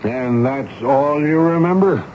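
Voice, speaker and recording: Commanding, probing army major. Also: male voice, mid-range voice, lively intonation, slow. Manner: commanding, interrogating. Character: stern, probing but fatherly army major. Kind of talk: 1970s radio drama acting. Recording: dull, noisy old radio broadcast recording.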